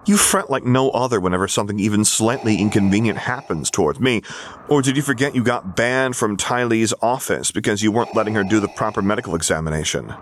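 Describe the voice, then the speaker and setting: A man voicing a bright, blunt and teasing young man in an audiobook story. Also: fairly low pitch, quick pace, a little hiss or hum, lightly acted. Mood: blunt, exasperated. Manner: playful, blunt, sincere.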